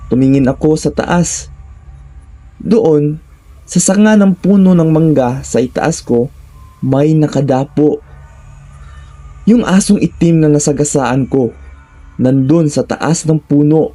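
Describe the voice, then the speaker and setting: A man with a Filipino accent narrates a scripted first-person horror story in short, deliberate, suspenseful phrases. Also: fairly high pitch, mellow, soft background music.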